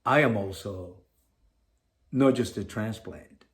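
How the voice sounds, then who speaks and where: A man from Venezuela with a Venezuelan Spanish accent, co-hosting a community podcast in an earnest, halting way with many restarts. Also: fairly low pitch. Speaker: podcast co-host. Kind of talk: casual community podcast chat.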